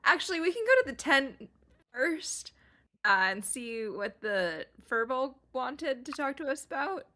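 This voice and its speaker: A woman's voice: high voice, very animated, unhurried, sharp tone. A woman playing a tabletop roleplaying game, chatting casually and slipping between describing and speaking as her character.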